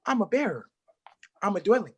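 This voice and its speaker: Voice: mid-range voice, very animated, fairly quick, breathy edge. Someone reading a stammering, fretful male magician. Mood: defiant. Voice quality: stammering, theatrical. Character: anxious male wandering magician, stammering and grandiose. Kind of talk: play table read. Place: live reading at an art exhibition.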